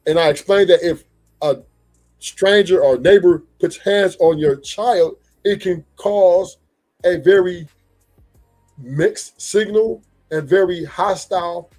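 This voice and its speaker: A man on a live debate panel, explaining his point deliberately and defending himself. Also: high-pitched, darkish tone, soft background music, rough recording.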